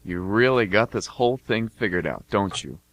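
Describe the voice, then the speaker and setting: A man's voice: lowish voice, lively intonation, normal speed, no room sound, natural voice. A man playing a skeptical, sardonic pet goldfish with a dry, doubting manner in an amateur comic radio play.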